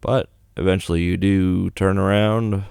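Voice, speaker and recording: A man's voice: low voice, steady tone, a little slow, vocal fry, darkish tone, clean tone, no echo, clean studio sound. A man hosting a gaming video, narrating a visual novel in a loose, offhand, casual way. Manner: loose, offhand paraphrasing of on-screen story. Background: video game music.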